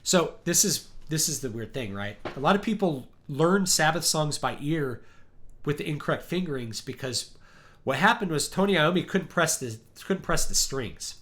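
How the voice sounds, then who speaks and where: A man hosting a casual chat, talking in a rambling, animated way and acting out quotes, his deep voice worn because he is sick. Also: normal speed. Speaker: livestream host. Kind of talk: casual chat about music.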